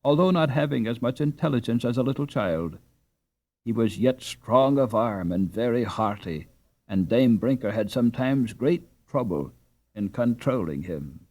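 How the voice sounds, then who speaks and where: A man's voice: mid-range voice, animated, moderate pace, darkish tone. A man reading a classic children's novel aloud as scripted audiobook narration.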